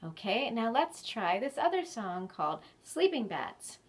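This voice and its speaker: Children's storytime host: American female, fairly high voice, very slow delivery, simple encouraging instructions for little kids. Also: very animated. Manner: simple, encouraging speech addressed to young children. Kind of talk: preschool storytime craft instruction. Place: indoors in a library.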